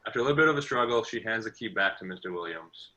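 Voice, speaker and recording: A man's voice: on the low side, animated, very fast, bright, forceful tone, rough recording. A man reading scripted narration as the narrator of an amateur table read.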